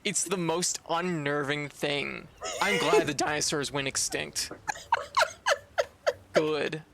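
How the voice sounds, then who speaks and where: A man's voice: on the high side, sing-song, slow, gravelly, a bit bright, slight background noise. A man streaming a game, chatting casually and teasing his co-host in a playful, joking way. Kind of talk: casual chat while gaming. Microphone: streaming microphone.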